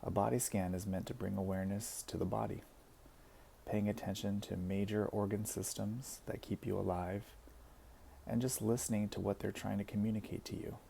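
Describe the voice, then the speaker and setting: A man with a deep, mellow voice guides a meditation. He gives soothing, gentle instructions and leaves deliberate pauses between phrases. His tone has a noticeable fry, and there is faint noise in the recording. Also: level tone. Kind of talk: guided body scan meditation.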